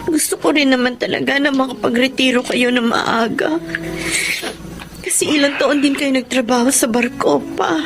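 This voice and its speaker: A woman's voice: sits high, fairly even intonation, normal speed, noisy background. A woman with a Filipino accent playing a dutiful, hurt breadwinner daughter in an emotional, earnest radio drama. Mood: apologetic, earnest. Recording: music under the voice.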